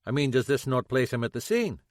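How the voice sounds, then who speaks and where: A man's voice: medium pitch, sing-song, a little fast, no echo, studio quality, lightly acted. A man voicing an eager young male sergeant.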